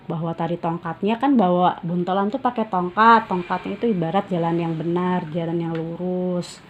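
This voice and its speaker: A woman's voice: on the low side, fairly even intonation, normal speed, dull audio, slight background noise. A woman reading a storybook aloud and explaining it in a casual, informal way.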